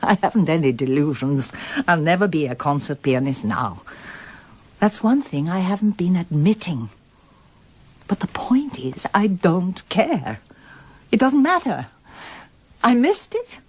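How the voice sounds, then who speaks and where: Radio drama role: wife, middle-aged, lowish voice, highly varied intonation, candid and newly determined. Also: female voice, very animated, measured pace, long pauses, a little mellow, husky, heavily acted. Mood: resolute. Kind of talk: radio drama acting, both dialogue and first-person narration.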